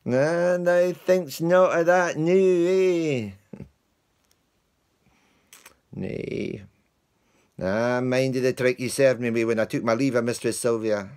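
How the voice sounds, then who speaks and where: An actor playing a comic lowly male servant, telling a broad Scottish-dialect tale of his dog with mock indignation. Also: a little mellow, heavily acted. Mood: indignant. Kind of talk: theatrical monologue.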